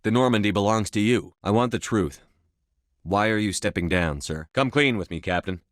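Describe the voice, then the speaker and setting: Low-voiced, resolute military commander played by a man. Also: moderate pace, dry recording, clean studio sound, close to an everyday voice. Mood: determined. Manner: firm, terse, authoritative. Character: resolute, blunt human military commander in space. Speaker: video game protagonist. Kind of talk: scripted game dialogue.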